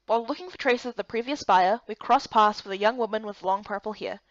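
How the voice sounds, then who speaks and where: A woman's voice: mid-range voice, fast, no echo, dull audio, lightly acted. A woman voicing a curious, unsure young human heroine, plain-spoken, in visual novel dialogue and narration. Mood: narrating.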